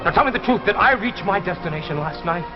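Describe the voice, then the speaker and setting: Desperate husband, American, menacing and urgent. High, volatile voice, vintage sci-fi drama. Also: male voice, animated, fast. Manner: agitated, urgent, repeating himself. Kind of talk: 1950s science fiction anthology drama acting. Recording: muffled vintage broadcast with loud music.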